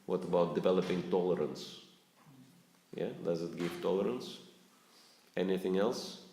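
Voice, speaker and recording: Man, spiritual teacher, low voice, unhurried, chuckles often, roomy echo. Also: fairly even intonation, mellow, a little hiss or hum. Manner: asks the audience questions, chuckles often. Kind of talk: talk to a live audience. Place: lecture room with audience.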